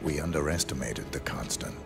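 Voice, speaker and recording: An actor playing a cold, stoic assassin in a video game, gravelly, terse and deadpan. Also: male voice, rumbling low, fairly quick, over music. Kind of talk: video game cutscene acting.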